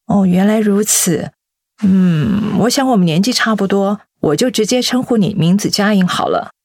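A woman's voice: mid-range voice, normal speed, darkish tone, no echo, close to an everyday voice. A woman acting a courteous, hospitable middle-aged school director in a radio drama style. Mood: welcoming.

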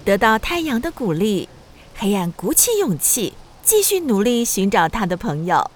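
Animated woman narrating for children. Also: mid-range voice, normal speed, soft background music. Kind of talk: expressive children's storytelling narration.

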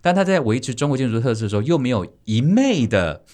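A man's voice: mid-range voice, very animated, fast, a little mellow, slight background noise. A man hosting a podcast in a conversational style, sometimes mixing in English words. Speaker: podcast host.

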